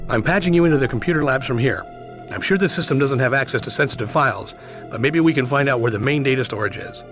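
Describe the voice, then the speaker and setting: A man's voice: medium pitch, fast, fairly bright tone, faint music behind, lightly acted. A man playing a tough, determined covert agent in a video game cutscene, speaking in terse commands. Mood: businesslike.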